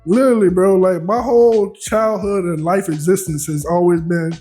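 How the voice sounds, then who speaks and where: A young man talking casually on a podcast as the guest, relaxed and slangy, laughing often. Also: high voice, normal speed, faint music behind.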